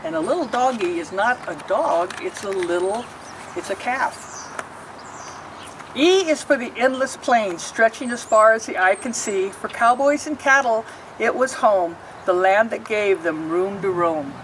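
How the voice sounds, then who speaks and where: A woman's voice: medium pitch, expressive, a little slow, far from the mic, slight background noise, low-quality recording. Woman reading a picture book from afar. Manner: sing-song rhyming reading with asides explaining pictures. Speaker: storybook reader.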